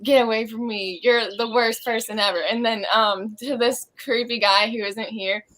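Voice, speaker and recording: A woman's voice: on the high side, steady tone, moderate pace, fairly bright tone. A woman speaking informally as an interviewed stage actor, offering advice in a reflective, casual way.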